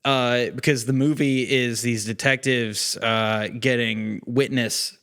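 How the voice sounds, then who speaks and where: Rambling man with a clear voice. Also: medium pitch, fairly even intonation, slow, a bit bright. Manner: rambling, loosely phrased. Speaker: podcast guest, actor. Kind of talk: casual chat.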